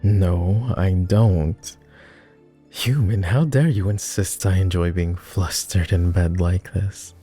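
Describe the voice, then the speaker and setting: A man playing a lonely, gentle vampire who speaks formally and haltingly in an intimate ASMR roleplay. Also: low voice, breathy edge, faint music behind, heavily acted. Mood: flustered, shy. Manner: formal, old-fashioned wording with halting, hushed delivery. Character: clingy lonely male vampire, courtly, gentle, easily flustered.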